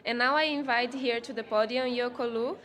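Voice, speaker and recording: A woman's voice: on the high side, fairly even intonation, brisk, a bit bright. A woman moderating a conference session, introducing the next speaker in a polite, formal way. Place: conference hall.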